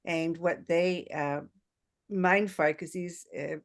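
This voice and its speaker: Woman podcast host, slightly low voice, chatting casually, kinda halting and thoughtful. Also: fairly even intonation. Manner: hesitant, halting, reflective. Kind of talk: casual interview conversation.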